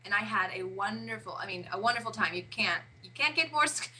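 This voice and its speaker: A woman in her forties, an interview guest, talking haltingly and often pausing to search for words. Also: medium pitch, lively intonation, bright, forceful tone, a little hiss or hum, poor audio. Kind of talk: casual interview chat.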